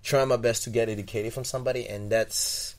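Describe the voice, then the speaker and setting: A man's voice: medium pitch, fairly even intonation, fairly quick, a little mellow. A young man from Togo, a podcast guest, talking in a rambling, reflective way with many restarts and repeated words. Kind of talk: casual interview.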